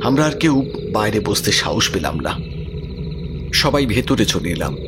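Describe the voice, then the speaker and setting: An actor playing a worried village man in an audio drama, earnest and expressive as he talks. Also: lowish voice, a little slow, over music. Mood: troubled, earnest. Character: worried village man recounting his cousin's frightening affliction.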